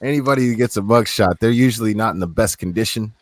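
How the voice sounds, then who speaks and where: Young male streamer, very low voice, dry close mic, loose rambling delivery. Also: quick pace, no room sound. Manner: rambling, repeats words, swears casually. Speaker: livestream host. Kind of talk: casual livestream chat.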